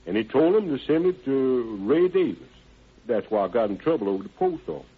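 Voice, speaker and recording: Husky, folksy suspect on old radio. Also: male voice, mid-range voice, very animated, fairly quick. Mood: earnest, explaining. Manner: folksy ungrammatical talk, polite yes-sirs. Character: evasive, deferential working man under questioning, Willie. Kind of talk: 1940s-50s radio drama acting. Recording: tinny band-limited old radio recording.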